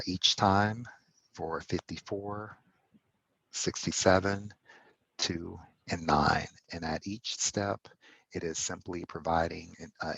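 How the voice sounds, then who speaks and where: A man explaining code step by step in a tutorial, pausing often and correcting himself as he goes. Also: sits low, gravelly, fairly bright tone, slightly rough, no room sound, poor audio. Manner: halting, self-correcting explanation with fillers. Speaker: instructor. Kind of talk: screen-recorded coding tutorial.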